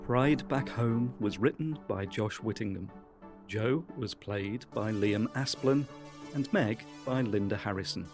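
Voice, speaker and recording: Low-voiced man reading credits over music. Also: measured pace. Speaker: credits announcer. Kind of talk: scripted credits read.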